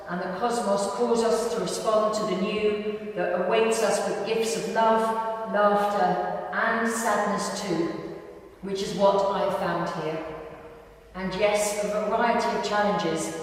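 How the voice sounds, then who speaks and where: A woman reading poems and personal prose to an audience, reciting phrase by phrase in a measured way. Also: medium pitch. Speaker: reader at a live literary event. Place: large indoor gym hall at a live reading. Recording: echoey hall, distant mic, faint noise.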